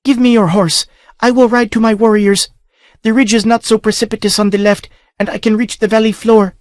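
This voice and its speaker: A man's voice: high-pitched, flat delivery, fairly quick, darkish tone, dry recording, studio quality, pitched above the performer's own voice, natural voice. A man voicing a proud young royal woman who gives commands with urgency. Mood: commanding. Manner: imperious, urgent.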